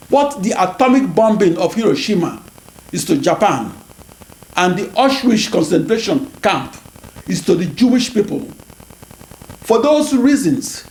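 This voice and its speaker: A Nigerian man in his sixties, whose first language is Igbo, gives a scripted lecture in a measured, deliberate way, sometimes correcting himself. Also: high-pitched, a little mellow. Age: 60s. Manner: measured, deliberate delivery with occasional self-corrections. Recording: roomy echo with faint static.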